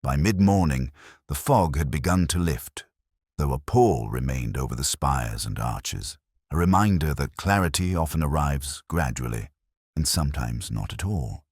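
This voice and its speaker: A man with a very low voice narrates a literary mystery in a measured, reflective way. His pitch moves expressively through each formal phrase. The recording is dry and close, with little room sound. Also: animated, a little mellow, breathy edge, dry recording. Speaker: narrator of a detective story. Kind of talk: scripted literary audiobook narration.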